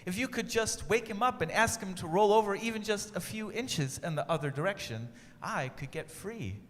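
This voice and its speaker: A man's voice: high voice, lively intonation, moderate pace, a bit bright, pitched above the performer's own voice. A man voicing a small, desperate male mouse who pleads politely for help. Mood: pleading. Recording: echoey room with faint ambient noise.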